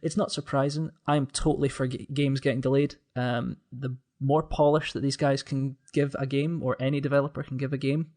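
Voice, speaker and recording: A man's voice: medium pitch, level tone, brisk, hardly any pauses, darkish tone. A man chatting casually as a podcast panelist, often repeating words as he forms his point.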